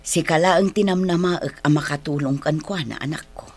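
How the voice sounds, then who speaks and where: Long-suffering Filipino mother, Ilocano accent, low and husky, weary radio drama delivery. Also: normal speed, a little mellow. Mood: tender, sad.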